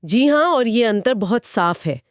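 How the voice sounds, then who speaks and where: A woman's voice: on the high side, animated, unhurried, dry recording, studio quality. A woman with an Indian accent in a conversational explainer podcast, speaking in an assured, explanatory way as co-host. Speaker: co-host explaining company financials.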